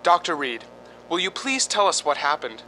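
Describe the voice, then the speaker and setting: A man acts an alarmed gentleman in a radio drama, earnest and urgent. His voice is sharp, bright and a little high. There is a faint noisy rumble behind it. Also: very animated, normal speed. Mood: concerned, insistent. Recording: faint rumbling background noise.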